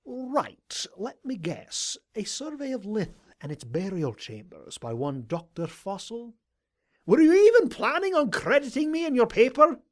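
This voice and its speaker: Grizzled old stallion professor, haughty, sarcastic, indignant, swooping intonation, audio drama. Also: male voice, extremely high pitch, big pitch swings, measured pace, exaggerated voice. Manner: pompous, sarcastic, theatrical. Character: grizzled gray old male unicorn scholar, haughty and indignant. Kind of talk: animated audio drama character voice.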